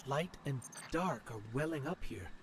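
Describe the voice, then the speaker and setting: An actor playing a small floating robot companion in a video game, earnest and hesitant, full of wonder. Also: male voice, mid-range voice, animated, unhurried, a little hiss or hum, natural voice. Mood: wondering, uneasy. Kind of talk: video game cutscene and dialogue acting.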